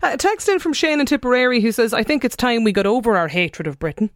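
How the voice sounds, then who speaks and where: A woman's voice: fairly high pitch, lively intonation, fast talker, no room sound, studio quality. A woman hosting a radio phone-in, reading out listener texts and chatting with callers. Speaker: radio talk show host.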